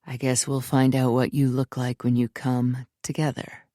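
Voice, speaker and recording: A woman reading the part of a dominant man in an erotic story, speaking low, slow and controlled. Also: little pitch movement, dark tone, no room sound, clean studio sound. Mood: low, commanding. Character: commanding, dominant adult man lover.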